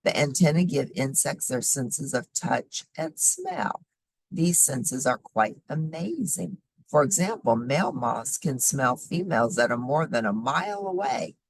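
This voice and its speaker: Slow, husky low-pitched woman reading aloud. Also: very animated, no echo, poor audio. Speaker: host reading children's science books aloud. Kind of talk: read-aloud of a children's nonfiction book.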